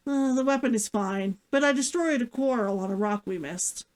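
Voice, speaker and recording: Woman reading as stubborn higher-voiced huntress. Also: normal speed, a little mellow, lightly acted. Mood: guarded. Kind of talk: fiction read-aloud character dialogue.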